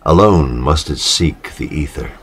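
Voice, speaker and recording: Guy with a low voice reading poetic stuff real slow, solemn, lots of pauses. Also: expressive, fairly bright tone, husky. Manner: measured, dramatic pauses between short phrases. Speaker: reader of poetic literature. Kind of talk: solemn literary recitation.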